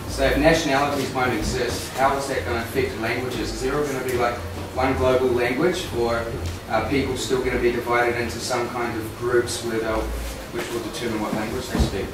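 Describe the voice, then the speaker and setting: A man from the audience in a casual group discussion, speaking haltingly with many fillers as he asks a question. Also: mid-range voice, flat delivery. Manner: halting, lots of uh and like. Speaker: audience member asking questions. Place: echoey meeting room. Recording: echoey room recording from far off, noisy.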